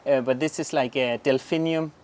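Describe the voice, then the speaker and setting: An enthusiastic man with a Danish accent walks through a garden, chatting casually about what is in bloom. He keeps exclaiming over the plants and pointing things out. His voice sits at an ordinary middle pitch for a man. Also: fairly even intonation, moderate pace, a little mellow, slight background noise. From southern Denmark. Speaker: garden show host. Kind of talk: casual garden tour chat. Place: outdoor garden.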